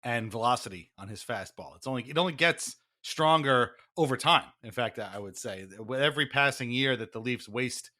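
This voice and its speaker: A man's voice: mid-range voice, a little fast, hardly any pauses, sharp tone. A man hosting a podcast in a theatrical, announcer-like, mock-formal way, moving between banter and dramatic reading. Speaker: podcast host.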